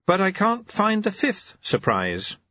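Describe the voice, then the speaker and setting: A man's voice: sits high, wildly swooping pitch, slow pace, bright, forceful tone, no room sound, highs cut off, pitched above the performer's own voice, exaggerated voice. A man voicing an anxious, hasty young male novice monk who sounds flustered and eager. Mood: earnest.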